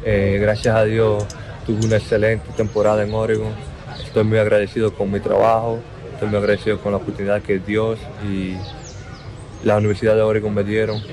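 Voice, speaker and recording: A young Dominican man with a low, level voice gives a reporter his answers. He talks haltingly, circling back over his own words, and his tone hardly rises or falls. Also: monotone, a crowd in the background. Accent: Dominican Spanish. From Dominican Republic. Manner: slow, halting, repeats words. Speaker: basketball player interviewed. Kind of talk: outdoor interview.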